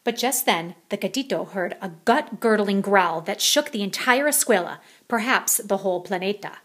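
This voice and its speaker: A woman's voice: mid-range voice, lively intonation, moderate pace, fairly bright tone. A woman reading a children's picture book aloud as a storyteller.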